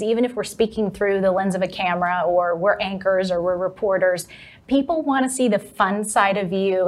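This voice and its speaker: A woman from Texas, a confidence coach, speaking as an upbeat, animated interview guest. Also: medium pitch. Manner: upbeat, animated, laughs easily. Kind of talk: interview conversation.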